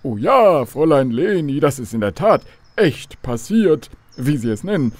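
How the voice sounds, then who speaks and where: An actor playing a courteous, old-fashioned, learned professor in a children's radio drama, formal and pedantic. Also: male voice, fairly high pitch, very animated, moderate pace, darkish tone, no room sound. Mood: lecturing.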